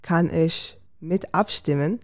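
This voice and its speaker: A young woman from Israel speaking German, careful and deliberate, as a panel guest. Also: on the low side. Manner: careful, deliberate non-native phrasing. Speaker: panel guest, language student. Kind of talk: panel discussion.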